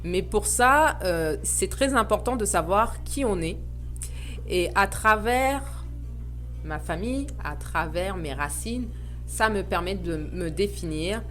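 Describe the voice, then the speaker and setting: Woman in her thirties, earnest, reflective, hesitant pauses, middle pitch, faint music behind. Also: animated, a bit bright. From Paris suburbs, France. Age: 30s. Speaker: guest, association founder. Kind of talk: podcast interview, personal reflection.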